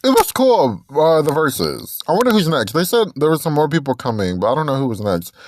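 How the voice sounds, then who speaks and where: A man's voice: on the high side, very animated, a little fast. A man in his twenties hosting a casual solo podcast, chatty and rambling, correcting himself as he goes and breaking into snatches of songs. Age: 20s. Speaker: podcast host.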